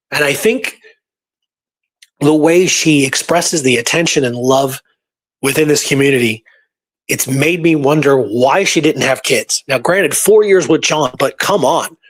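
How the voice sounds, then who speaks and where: Slightly high-voiced man telling history casually. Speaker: podcast history presenter.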